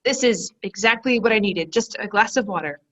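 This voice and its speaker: A woman playing a distraught young woman in a play reading, talking in halting, emotional bursts. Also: medium pitch, fairly bright tone, highs cut off, lightly acted. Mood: quiet. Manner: emotional, halting, self-blaming. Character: distraught young woman, shaken after a breakup.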